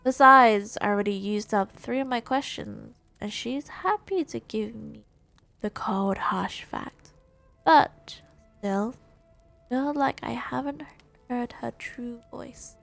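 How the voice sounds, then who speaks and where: A woman live-streaming a game playthrough, musing aloud in a halting, reflective way. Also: on the high side, gravelly, fairly bright tone, faint music behind.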